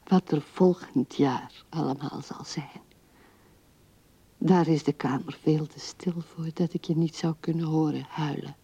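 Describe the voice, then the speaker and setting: An actress playing an old widow musing tenderly and haltingly at her husband's grave in a radio drama, her soft voice often dropping to a hush. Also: low voice, steady tone, breathy edge, no echo, full character voice. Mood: tender, grieving. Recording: dull old radio recording.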